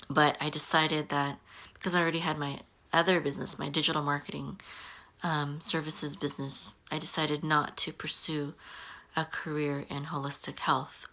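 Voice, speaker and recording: A woman in her forties talks through her own experience in a loose, unscripted way, filling the gaps with ums and restarting phrases. Her voice sits low yet carries a bright, forward edge. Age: 40s. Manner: halting, many ums and restarts. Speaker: online business coach. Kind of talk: casual talking-head advice.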